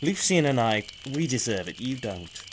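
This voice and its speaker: Male actor as fatalistic mercenary, weary, deadpan, mid-pitched, slight background noise. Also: moderate pace, natural voice. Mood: weary, sardonic. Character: jaded, fatalistic male mercenary, drily sardonic. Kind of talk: audio drama acting.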